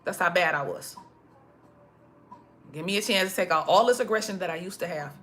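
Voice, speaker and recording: Preachy woman with a bright voice. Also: lowish voice, fairly quick, faint music behind. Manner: preachy, emphatic, repeats herself. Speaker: motivational speaker. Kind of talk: casual motivational talk to followers. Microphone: phone.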